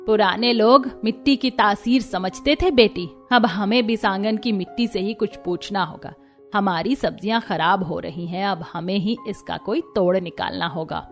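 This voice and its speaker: A woman's voice: medium pitch, normal speed, faint music behind, lightly acted. A woman voicing a firm, protective older village mother-in-law in a cartoon, motherly and admonishing. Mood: resigned.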